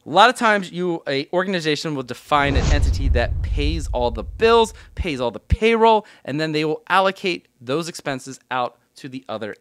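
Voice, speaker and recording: A man's voice: a little high, big pitch swings, normal speed, hardly any pauses, fairly bright tone, slight background noise. A man giving an explanatory tutorial as an instructor, talking informally through worked examples step by step. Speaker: accounting instructor.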